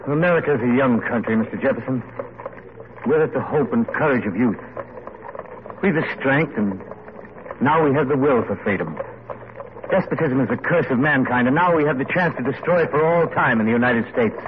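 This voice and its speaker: A noisy, narrow-band vintage radio recording. An actor plays an ardent Revolutionary writer, a mid-pitched man who is courteous, idealistic and full of conviction, in classic radio drama style. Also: fairly quick, close to an everyday voice. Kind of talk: old-time radio drama acting. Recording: noisy, tinny old radio broadcast.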